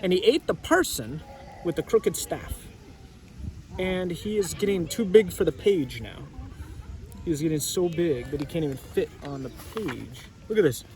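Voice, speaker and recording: A man's voice: fairly high pitch, animated, moderate pace, a little mellow. A man reading a storybook aloud as a teacher, casually chatting and prompting listeners with questions.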